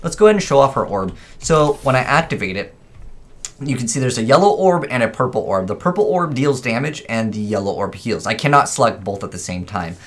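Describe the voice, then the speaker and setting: A man's voice: mid-range voice, expressive, a little fast. A man hosting a gaming video with casual, informal commentary, rambling a little as he thinks aloud.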